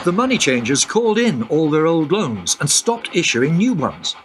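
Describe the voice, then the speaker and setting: A man's voice: on the high side, sing-song, normal speed, heavy background noise. A man playing a wry, knowing guide who explains history in a radio play. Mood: explaining. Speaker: time-travelling guide.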